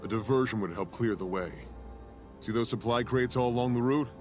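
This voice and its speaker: A man's voice: mid-range voice, fairly quick, darkish tone, soft background music, lightly acted. An actor playing a bold, resolute male warrior hero in a fantasy video game, assured and straightforward. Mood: resolute, friendly.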